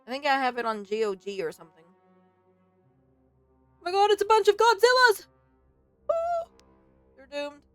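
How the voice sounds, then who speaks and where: A woman's voice: high voice, wildly swooping pitch, normal speed, long pauses, no echo. A woman hosting a let's play, casually commenting, reading aloud and reacting expressively to the game. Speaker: streamer, let's play host. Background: faint game music.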